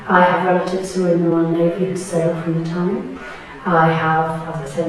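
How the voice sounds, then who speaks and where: A woman of about sixty from Tyneside, thoughtfully recalling her memories in an oral history interview, pausing and restarting as she goes. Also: lowish voice, darkish tone. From Tyneside, England. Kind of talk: reflective personal reminiscence. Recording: very echoey room, distant mic.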